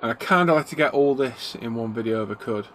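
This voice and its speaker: A man's voice: mid-range voice, fast, fairly bright tone, slight background noise. A middle-aged man, hosting a casual live stream from his hobby room. He sounds bunged up from a cold and greets his viewers by name as he chats. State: congested, getting a cold.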